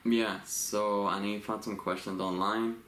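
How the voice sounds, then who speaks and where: A man in his late twenties from Los Angeles, co-hosting a couple's Q&A vlog in a relaxed, rambling way with frequent laughs. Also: fairly low pitch, unhurried. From Los Angeles, USA. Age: 20s. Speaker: vlogger co-host. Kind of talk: casual couple Q&A chat. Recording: echoey room with faint hiss.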